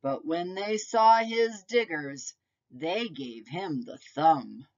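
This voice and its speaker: A woman with a fairly low voice reads a storybook aloud for young children. Her phrasing is deliberate and unhurried, and her pitch moves up and down expressively as she tells the tale. The recording is a little dull and lo-fi. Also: animated, darkish tone. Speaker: preschool teacher reading a picture book aloud.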